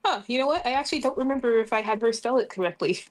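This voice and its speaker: A woman with a voice a bit higher than average talks casually during an online tabletop game. She is chatty and playful, speaking as one of the players. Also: lively intonation, rapid-fire.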